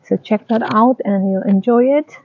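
A woman giving a casual tour of her garden, talking in a slow, halting, plain way. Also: a little high, no room sound. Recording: dry, tinny phone-like sound.

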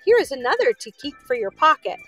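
A woman's voice: sits high, very animated, a little fast, fairly bright tone, soft background music. A woman voicing a polite little storybook witch, genteel and condescending, with a mischievous streak. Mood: reproving.

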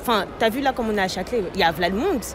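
A woman's voice: mid-range voice, racing, noisy background. A woman in her twenties chatting in casual slang, telling things vividly with acted-out quotes. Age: 20s. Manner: animated storytelling with acted-out quotes. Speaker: street interviewee. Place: busy city square, outdoors. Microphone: handheld mic close by.

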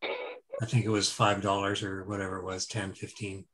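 An older man, a club member, chatting on a video call in a relaxed way with many fillers. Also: low voice, moderate pace, rough recording. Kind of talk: casual video call chat.

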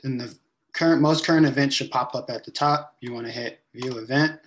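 A young man giving a relaxed step-by-step tutorial, with frequent ums, recorded on a laptop webcam in a room at home. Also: mid-range voice, fairly quick. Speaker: event staff giving a tutorial. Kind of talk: casual screen-share walkthrough.

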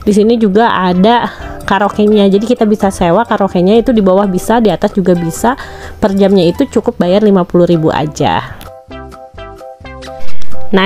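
Chatty woman touring a fishing cafe. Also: medium pitch. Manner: chatty, cheerful, excited cheers when fish are caught. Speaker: family vlogger. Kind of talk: casual tour narration to viewers. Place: outdoor fishing pond cafe.

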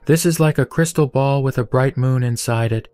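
Male narrator for sacred text: solemn, measured reading in a medium register, soft music underneath. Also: medium pitch, dry recording. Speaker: reciter of Buddhist scripture. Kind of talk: scripted reading of a sutra. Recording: dry voice over background music. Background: background music.

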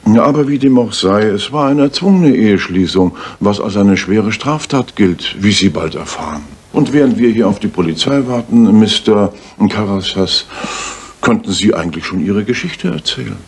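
An actor playing a shrewd, self-assured gentleman detective, formal and authoritative, in a radio play. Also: on the low side, lively intonation, moderate pace, husky. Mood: stern. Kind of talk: German radio play acting.

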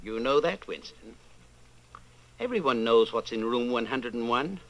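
An actor playing a coldly patient, menacing party inquisitor in old-time radio style, measured, condescending and commanding. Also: male voice, medium pitch, lively intonation. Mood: calm authority.

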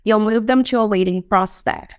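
Woman, thirties, crisp clear voice, dry close recording, instructional walkthrough, explanatory. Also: medium pitch, moderate pace, no echo. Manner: explanatory, checks in with "right?" and "okay?". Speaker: software trainer in customer support. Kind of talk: instructional screen-share walkthrough. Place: indoor room.

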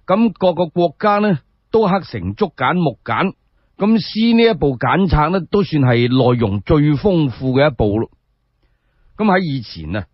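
A man with a Cantonese accent telling a radio story solo, in expressive, colloquial, explanatory narration. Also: a little high, slightly rough, no echo. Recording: slightly dull, lo-fi, dry sound.

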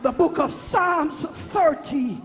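A man's voice: very high-pitched, slow pace. A man preaching and praying in a fervent, declamatory charismatic style, repeating phrases for emphasis. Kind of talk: fervent charismatic sermon and prayer. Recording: live worship service with music and crowd. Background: music and congregation.

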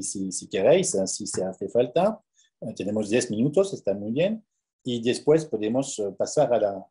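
A man with a French accent speaking Spanish as a conference presenter on a video call, halting with many repeated words and fillers. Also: mid-range voice, dark tone. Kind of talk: academic Q&A over video call.

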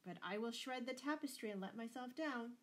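A woman's voice: on the high side, a little fast, voice pushed up, lightly acted. Young noble heroine of a storybook, played by a woman reader: bold, unyielding, self-possessed and spirited. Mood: calm defiance. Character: bold, defiant young noblewoman, calm and gaily stubborn. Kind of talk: dramatised storybook reading.

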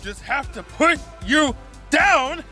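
A man's voice: very high-pitched, lively intonation, takes it slowly, over music, full character voice. A man playing a ruthless, coldly calculating corporate agent in an audio drama, controlled and menacing. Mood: threatening. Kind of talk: dramatic audio roleplay acting.

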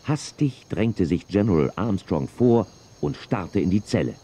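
An actor playing a calm Western sheriff who narrates his story in an audio drama. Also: lowish voice, unhurried, slightly rough, full character voice. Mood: measured. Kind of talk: scripted narration.

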